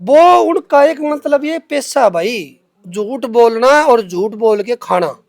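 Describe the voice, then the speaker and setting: High-voiced Haryanvi man, emphatic talker. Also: animated, takes it slowly, creaky, dry recording, clean studio sound. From Haryana, India. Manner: emphatic, repetitive, colloquial. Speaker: interviewee answering accusations against him. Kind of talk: unscripted interview, defending himself.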